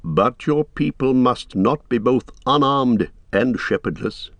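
A wise old storybook wizard, acted by a male reader, grave and stern. He speaks in a solemn, measured way at a middling pitch, with full authority. The sound is very dry. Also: lively intonation, a bit bright, no echo.

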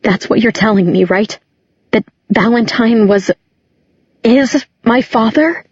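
Distraught teenage girl played by a female narrator, bitter and incredulous, slightly husky, dry close sound, audiobook drama. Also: mid-range voice, normal speed, dry recording, highs cut off, voice pushed up, lightly acted. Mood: shaken. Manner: incredulous, emotional, emphatic.

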